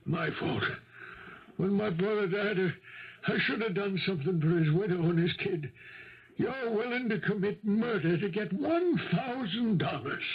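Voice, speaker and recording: High-voiced, remorseful old uncle. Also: male voice, animated. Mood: pained, resigned. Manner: halting, pained, earnest. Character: shaken, remorseful older wealthy uncle. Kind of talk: 1970s radio drama acting. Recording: muffled 1970s radio broadcast.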